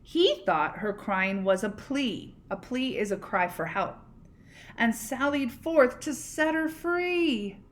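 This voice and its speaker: A woman reading a picture book aloud expressively, pausing to explain words and ask questions. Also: on the high side, expressive. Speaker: read-aloud storyteller, teacher.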